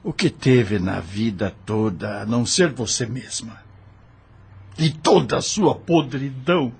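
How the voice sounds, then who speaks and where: A man's voice: medium pitch, a little slow, vocal fry, darkish tone, slight background noise. A man playing a wounded, defiant prisoner in an audio drama, bitter yet steadfast in his faith. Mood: defiant, bitter irony.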